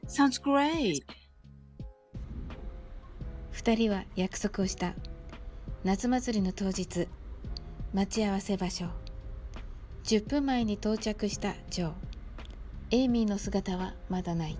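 A woman's voice: medium pitch, measured pace, pauses often, warm tone, faint music behind. A woman reading scripted narration for a radio drama.

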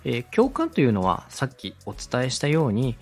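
Animated man hosting a podcast over music. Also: medium pitch, moderate pace. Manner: polite, conversational, addresses the listener directly. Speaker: podcast host and radio director giving advice. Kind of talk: explanatory podcast teaching with acted example questions. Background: background music, faint.

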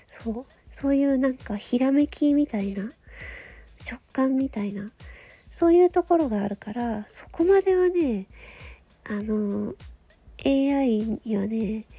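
Female solo radio host; high-pitched, mellow, breathy delivery, unscripted and conversational. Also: unhurried. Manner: conversational, thinking aloud, frequent soft agreeing particles. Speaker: host of a solo audio show. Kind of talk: casual monologue on a chosen theme.

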